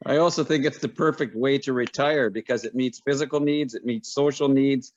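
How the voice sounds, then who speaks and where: A man's voice: medium pitch, a little fast, darkish tone. A man speaking as a guest on an online panel, earnest and reflective, with frequent ums. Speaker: guest panelist, pickleball player. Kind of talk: casual personal testimony. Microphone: video call. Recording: dull dry video-call audio.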